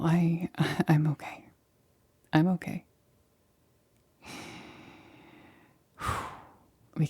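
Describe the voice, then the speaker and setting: A woman playing a nervous scientist in a whispered ASMR roleplay, speaking softly and breathily with hesitant, halting stammers. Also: low-pitched, husky, heavily acted. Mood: flustered, uneasy. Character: nervous female scientist examining an alien specimen.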